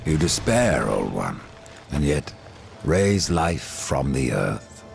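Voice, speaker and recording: A man playing a grim, sardonic horseman of death in a dark fantasy game, with a gravelly rasp and a terse, menacing delivery. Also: rumbling low, big pitch swings, slow, soft background music, full character voice. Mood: terse, probing.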